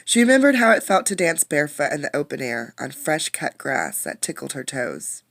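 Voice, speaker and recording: Creaky-voiced woman reading aloud to children. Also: deep voice, moderate pace, no room sound. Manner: expressive storytelling, addressing young listeners directly. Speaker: teacher reading a picture book aloud. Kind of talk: interactive children's read-aloud with questions.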